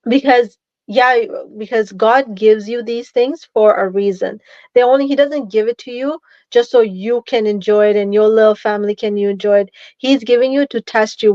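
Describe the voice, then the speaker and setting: A woman's voice: mid-range voice, a little mellow, dry recording. A woman in her thirties from Tanzania, a guest speaking earnestly with fillers and restarts. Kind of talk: casual interview. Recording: dull dry remote audio.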